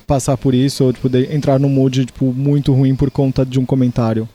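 A man's voice: medium pitch, flat delivery, fairly quick, warm tone, dry recording. A man interviewing a guest, asking questions in a chatty, informal way with slang fillers.

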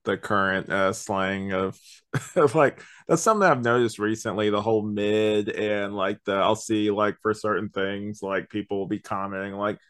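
A man's voice: lowish voice, steady tone, moderate pace, fairly bright tone, smooth, no room sound, clean studio sound. A young man co-hosting a podcast, giving a casual, rambling conversational review. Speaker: podcast co-host.

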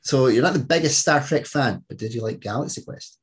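Chatty, excitable male podcast host. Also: medium pitch, brisk, husky. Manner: enthusiastic, swearing, joking. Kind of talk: casual chat.

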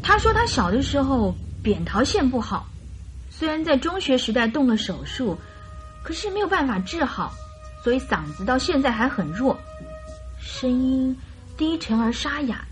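A naive young bride-to-be in a radio play, with a high voice. She tells her story earnestly and chattily, with little hesitations, over music. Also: sing-song, moderate pace. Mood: shy, earnest. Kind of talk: radio drama acting.

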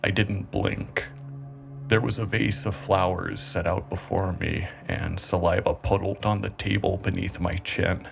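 A man playing a calm, self-justifying cannibal killer in a brooding, deliberate horror monologue. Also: sits low, gravelly, over music, heavily acted. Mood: menacing, hushed confession. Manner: brooding, deliberate, measured with dramatic pauses. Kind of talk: scripted horror monologue, dramatic narration.

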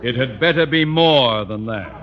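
An American actor playing a pompous, stern middle-aged principal in 1950s radio comedy, with formal, clipped diction. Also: male voice, mid-range voice, animated, normal speed, long reverb tail, background noise, close to an everyday voice. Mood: stern, officious. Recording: muffled old radio broadcast recording.

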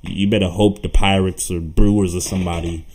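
Deep-voiced relaxed sports podcaster. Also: male voice, a little fast, background noise. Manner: relaxed, stammers and repeats words. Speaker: podcast co-host. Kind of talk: casual sports talk.

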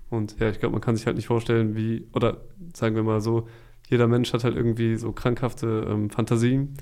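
A man's voice: medium pitch, level tone, brisk, mellow, smooth. A young man chatting casually on a podcast in a laid-back, joking way with plenty of youth slang. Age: young adult. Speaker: podcast host.

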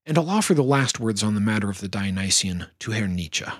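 A man hosting a solo podcast, talking in a measured, thoughtful way. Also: lowish voice, expressive, no echo, clean studio sound. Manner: measured, thoughtful delivery with fillers. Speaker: podcast host. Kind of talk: reflective solo podcast talk.

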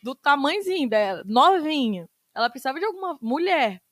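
A woman's voice: high voice, very animated, fairly quick, no echo, clean studio sound. A young woman from Brazil talking earnestly in a casual chat, speaking emphatically with many fillers. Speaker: guest.

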